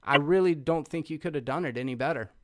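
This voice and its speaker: A man's voice: on the high side, fast, fairly bright tone. A man co-hosting a film review in casual, informal talk with an emphatic, sweary way of speaking. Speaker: podcast co-host.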